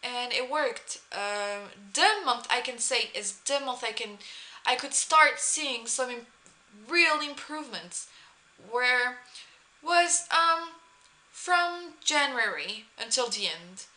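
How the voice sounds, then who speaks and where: Young French-accented woman, casual vlog chat, fairly high, bright voice. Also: lively intonation, poor audio. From France. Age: young adult. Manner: chatty, many "um" pauses and restarts. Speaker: vlogger, former exchange student. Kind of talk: casual talk to camera.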